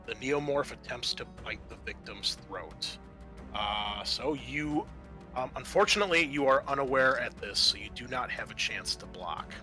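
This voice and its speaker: Bright-voiced man running a horror tabletop game. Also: medium pitch, lively intonation, moderate pace. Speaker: game master. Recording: background music under the voice.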